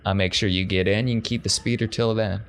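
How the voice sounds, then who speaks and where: A man's voice: lowish voice, fairly even intonation, fast, natural voice. A man playing a confident crime boss in a tabletop game, with folksy phrasing. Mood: smug, commanding. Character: confident male crime boss making a deal. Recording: noticeable background noise.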